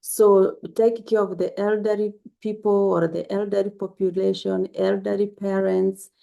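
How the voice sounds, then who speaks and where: Gravelly-voiced woman giving advice. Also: mid-range voice, level tone, normal speed, dull audio. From Kenya. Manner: earnest, repetitive, gently instructive. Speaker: health vlogger. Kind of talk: casual spoken health advice to camera.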